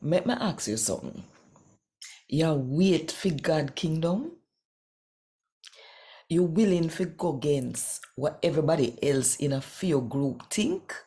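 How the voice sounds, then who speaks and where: A woman's voice: sits low, creaky, warm tone. A woman with a Jamaican accent hosting a devotional channel and reading scripture in Patois. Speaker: host and scripture reader.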